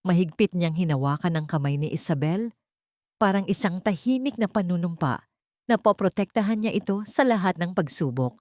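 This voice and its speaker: A woman's voice: fairly low pitch, expressive, moderate pace, darkish tone, dry recording, clean studio sound. A woman reading a scripted dramatic story as an audiobook narrator.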